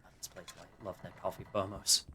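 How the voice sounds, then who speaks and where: A man's voice: sits low, little pitch movement, a little fast, sharp tone, a little hiss or hum. A man giving casual, wry commentary as he livestreams a game.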